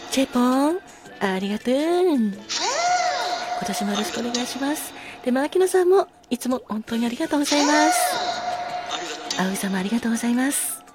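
A woman hosting a casual solo talk show, speaking politely with effusive gratitude and soft apologies. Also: high voice, big pitch swings, a little fast, long pauses, over loud music. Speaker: podcast host.

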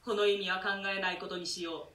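A man's voice: high-pitched, level tone, bright, forceful tone, roomy sound, distant mic. A man playing a polite, deferential jailer who speaks in formal, servile language. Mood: wary. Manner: formal servile speech, measured.

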